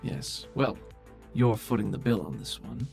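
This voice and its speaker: Game master as a dry, creaky-voiced businesslike woman. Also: medium pitch, big pitch swings, unhurried, darkish tone, soft background music, exaggerated voice. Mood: cool, brisk. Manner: dry, formal, wry.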